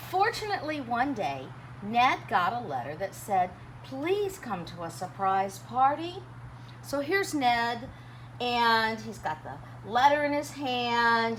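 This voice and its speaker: A woman reading a children's picture book aloud like a teacher, dramatic and expressive, chatting and asking questions of young listeners. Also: on the high side. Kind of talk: animated storytime read-aloud with commentary and questions. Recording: clearly noisy background.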